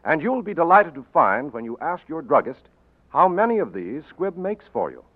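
A male announcer reading a sponsor message and sign-off in a measured, polished cadence. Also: on the high side, sing-song. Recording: tinny 1940s radio broadcast, faint music.

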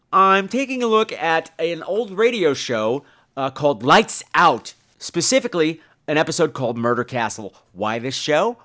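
Animated, high-voiced man hosting a podcast. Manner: rambling, animated, theatrical emphasis. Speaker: podcast host. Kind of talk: casual solo review monologue.

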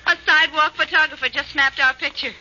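An actress playing a detective's bright, curious female companion in a 1940s radio drama, on an old recording. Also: sits high, fairly even intonation, fast, dull audio, a little hiss or hum. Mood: surprised, curious.

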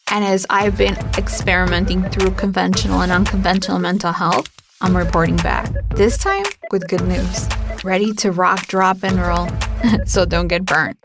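A woman's voice: fairly low pitch, moderate pace. A woman hosting a podcast, delivering a punchy, irreverent intro with casual swearing, then a disclaimer. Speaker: podcast host. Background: music.

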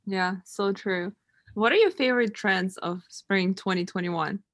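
A woman's voice: mid-range voice, unhurried, dry recording. A woman from Ukraine who interviews her guest, agreeing in a friendly, casual way.

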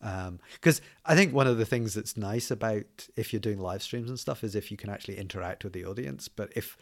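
A man's voice: fairly low pitch, brisk, vocal fry. A man with a light accent mixing Scottish and southern English, chatting as a podcast guest and often restarting his sentences with fillers. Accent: mixed Scottish and southern English. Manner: hesitant, many restarts and fillers. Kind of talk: casual podcast conversation.

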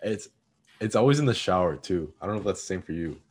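Husky, very deep-voiced young man. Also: animated, fast, darkish tone. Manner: hesitant, repeats words, says "like" often. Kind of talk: casual chat.

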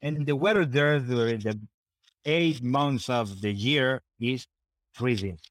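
A middle-aged man with a Honduran Spanish accent talks casually as an interview guest. His voice sits at an ordinary male pitch, recorded dry. He often doubles back on words as he looks for the English phrase. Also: dry recording. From northern coast of Honduras. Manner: halting, repeats words while searching for English phrasing. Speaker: podcast guest.

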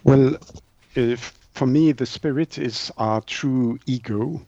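A man from Switzerland, a native French speaker with a French-speaking Swiss accent, explains things as an interview guest, haltingly searching for words and correcting himself. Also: mid-range voice, dark tone, no room sound. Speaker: guest, naturopath and author. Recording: muffled, dull lo-fi audio.

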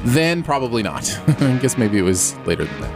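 A man co-hosting a casual music review chat, animated and joking, laughing often. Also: mid-range voice, fairly quick. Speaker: podcast co-host. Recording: music playing in background.

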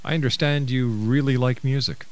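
A man's voice: medium pitch, fairly even intonation, normal speed. A man hosting a radio interview, speaking as a presenter to his guest and listeners. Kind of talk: radio interview, partly reading a book synopsis.

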